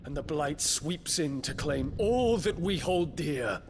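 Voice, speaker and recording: A grave, resolute young prince. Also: male voice, fairly high pitch, expressive. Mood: accusing. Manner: formal, measured, grave delivery. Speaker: prince confronting his father. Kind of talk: video game cutscene acting. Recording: game audio with clear background noise.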